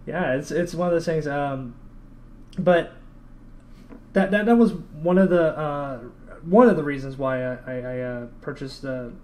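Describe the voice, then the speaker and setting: A man's voice: on the high side, unhurried, darkish tone. A man hosting a casual podcast interview, chatty and a bit hesitant, talking like a keen fan. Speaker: podcast host.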